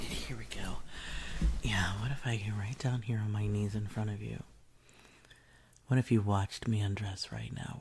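A woman doing an intimate whispered audio roleplay, teasing and commanding in a breathy whisper close to the mic. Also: extremely low pitch, darkish tone, a little hiss or hum.

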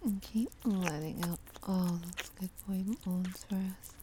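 Breathy, slow whispering woman, adult ASMR. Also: lowish voice, steady tone, background noise. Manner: mostly breathy whisper, slow, drawn-out, soothing with soft hums. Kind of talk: sexually explicit whispered roleplay audio, ASMR.

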